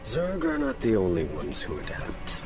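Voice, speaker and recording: A man's voice: deep voice, very animated, loud music behind the voice. A man playing a proud young prince in a video game, formal, precise and firm. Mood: firm defiance. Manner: haughty, precise, measured.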